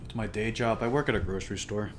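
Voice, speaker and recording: A man with a deep, low voice, chatting casually while modding on a live stream, talking to himself and sounding tired and flat. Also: fast talker, a little hiss or hum. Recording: music playing behind.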